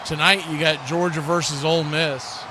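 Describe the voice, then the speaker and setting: A man's voice: fairly high pitch, moderate pace. An American man giving live, unscripted football commentary as a stream host, in a folksy, conversational way. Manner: conversational, folksy, rises to excited shouts on big plays. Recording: clearly noisy live stream background.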